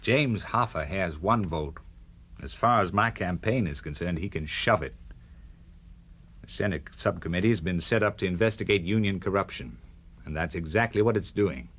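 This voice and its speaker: A resolute American senator in a radio drama. The voice is low, and he speaks in a cool, firm, uncompromising way with a hard edge of principle. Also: normal speed, a bit bright, breathy edge.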